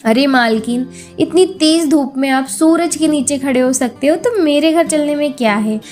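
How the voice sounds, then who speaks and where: A woman's voice: high voice, moderate pace, a little mellow, faint music behind, natural voice. A woman voicing a humble, contented poor housemaid and mother, reassuring and earnest, in a moral story.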